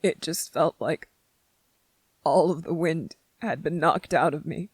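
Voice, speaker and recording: A performer voicing a gentle, grieving young male soldier in an intimate ASMR roleplay, speaking haltingly and emotionally, close to tears. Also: medium pitch, breathy edge, dry recording. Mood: grieving, aching. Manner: halting, emotional, earnest, short broken phrases.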